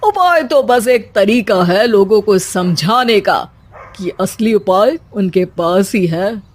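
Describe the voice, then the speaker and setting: A woman voicing a solemn, sagely holy man in a cartoon story, giving measured instructions. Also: medium pitch, animated. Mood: preaching.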